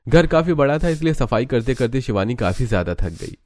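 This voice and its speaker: A man's voice: medium pitch, expressive, a little fast, darkish tone. A man narrating a scripted family drama story as its dramatic storyteller.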